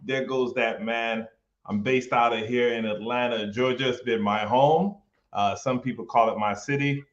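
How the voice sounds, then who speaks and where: A man's voice: medium pitch, normal speed. A man from New York, a real estate investor, talking casually and energetically with lots of slang as a guest on a livestream. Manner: energetic, loose, slangy and friendly. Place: home in Atlanta, echoey room. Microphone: webcam or camera mic on a video call. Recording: echoey, dull video-call audio.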